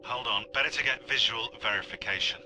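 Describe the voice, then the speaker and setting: An actor playing a cautious, businesslike covert operative with a measured tactical manner in a video game cutscene. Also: male voice, mid-range voice, bright, forceful tone, slight background noise, poor audio. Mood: focused.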